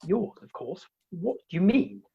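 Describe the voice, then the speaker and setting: Prim, precious young groom played by a woman, low and husky, theatrical comedy delivery, dull lo-fi call audio. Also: very animated, full character voice. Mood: self-satisfied. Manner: mannered, fastidious, precious. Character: prim, bashful, self-righteous young bridegroom, male. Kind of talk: theatrical comic radio play acting. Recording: dull, lo-fi video-call recording.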